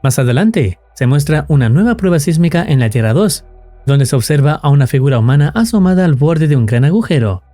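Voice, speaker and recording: A man's voice: on the high side, lively intonation, a little fast, mellow, faint music behind. A man reading scripted narration in the role of a storyteller explaining a tale.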